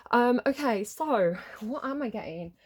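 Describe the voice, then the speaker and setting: A woman's voice: a little high, animated, a little slow, darkish tone, breathy edge. A woman with a cold, sniffly, giving a casual tarot reading in a rambling way, full of fillers and small laughs. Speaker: tarot reader.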